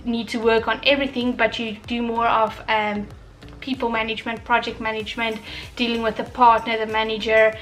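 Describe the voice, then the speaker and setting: Young woman, South African, Afrikaans accent, slightly high voice, easygoing vlog chat with fillers, light music bed. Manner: relaxed and reflective, with many fillers and restarts. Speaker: vlogger. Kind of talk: casual chat.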